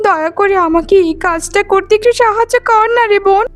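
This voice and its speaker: A woman's voice: very high voice, a little fast. A woman voicing a jealous, spiteful young wife in a melodramatic cartoon, whining and scolding theatrically. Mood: pleading, sly. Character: jealous, scheming young wife, twin sister, whiny and spiteful. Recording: loud music bed under the voice.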